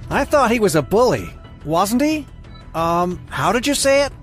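A man's voice: on the high side, expressive, normal speed, a bit bright, faint music behind. A man acting the dialogue of young men in a narrated romantic drama story. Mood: sneering.

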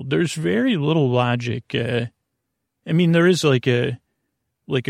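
A man with a creaky voice hosting a bedtime podcast, meandering and repeating himself in a lulling, mumbling way. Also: medium pitch, no echo, clean studio sound. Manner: meandering, mumbling, repetitive, with tangents; lulling, soothing delivery. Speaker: podcast host. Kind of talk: rambling bedtime sleep podcast introduction.

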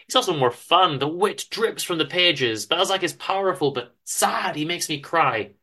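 A man's voice: fairly high pitch, expressive, moderate pace, bright, forceful tone, voice pushed up. A man voicing a cheerful, chatty young book lover with an enthusiastic, slightly flourished way of talking in a story reading. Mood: enthusiastic, teasing.

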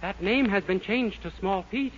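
High-voiced, earnest young man played by an actor. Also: moderate pace, close to an everyday voice. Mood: polite, stalling. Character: earnest, anxious young man bluffing as switchboard operator. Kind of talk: 1940s radio comedy acting. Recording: very noisy, dull 1940s radio recording.

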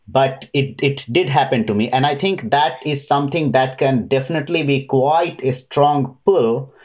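The sound is dull and lo-fi. A man with an average-pitched voice answers interview questions reflectively. He often repeats himself and reworks his phrasing as he goes. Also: normal speed, darkish tone. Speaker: interview guest, retail trader.

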